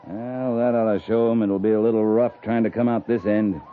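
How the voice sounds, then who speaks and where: An actor playing a stern, shrewd, commanding US Army cavalry captain in 1950s radio western style. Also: male voice, lowish voice, fairly even intonation, a little fast, slight background noise, natural voice. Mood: wry, settled. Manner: commanding, clipped, sternly challenging. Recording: muffled lo-fi 1950s radio recording.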